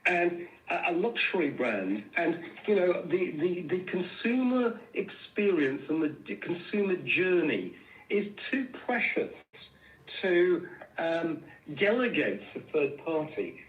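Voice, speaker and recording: A man answers questions as a company executive on a conference call. He phrases things earnestly and deliberately, often repeating small words. The sound is muffled and echoey, set well back from the microphone. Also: high voice, sing-song, far from the mic.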